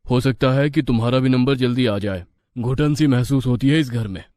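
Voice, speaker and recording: A man voicing a calm village doctor who reassures and advises in a measured way, in a moral-story cartoon. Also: mid-range voice, no room sound, studio quality, lightly acted. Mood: calm, frank.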